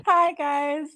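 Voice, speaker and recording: A woman's voice: high voice, sharp tone. A woman caller from the Bay Area, stuffed up from a cold, asking a hesitant, apologetic question. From San Francisco Bay Area.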